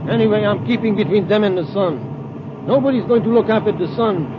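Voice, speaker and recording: An actor playing a ruthless, domineering space villain, curt and menacing, in a 1950s radio drama. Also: male voice, sits high, fairly quick, natural voice. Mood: grim, determined. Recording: muffled, noisy old radio recording.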